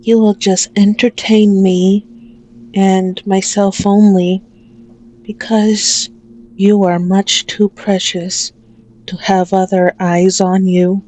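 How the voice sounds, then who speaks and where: A woman's voice: mid-range voice, little pitch movement, slow, darkish tone, poor audio. A woman playing a possessive, manipulative female mafia boss in an ASMR audio roleplay, menacing and taunting with a mock-tender manner.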